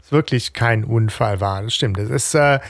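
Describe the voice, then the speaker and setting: Male co-host with a fairly high voice and a gravelly edge, reflective and halting, recorded dry. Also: no echo. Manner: hesitant, many fillers and restarts, reflective. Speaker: podcast co-host. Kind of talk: casual podcast discussion.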